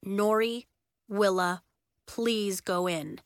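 A woman's voice: mid-range voice, fairly even intonation, slow pace, dry recording, studio quality. A woman voicing an exasperated, bossy schoolboy who gives firm commands, in an audiobook children's story. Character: exasperated, bossy young schoolboy taking charge.

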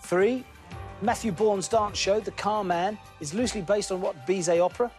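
A man hosting a TV quiz show, brisk and jokey, reading questions crisply. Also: sits high, faint music behind.

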